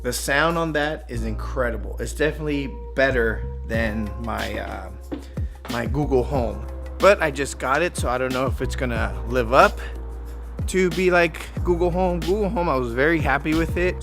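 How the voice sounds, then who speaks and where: A man's voice: mid-range voice, normal speed, vocal fry, music in the background. A man vlogging in a casual, conversational way, giving informal advice to camera with some emphasis. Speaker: YouTube vlogger.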